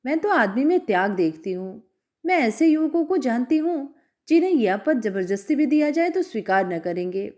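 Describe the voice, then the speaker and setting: A woman's voice: on the high side, big pitch swings, moderate pace, a little mellow. A woman reading as a defiant young college girl. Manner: bold, scornful retorts.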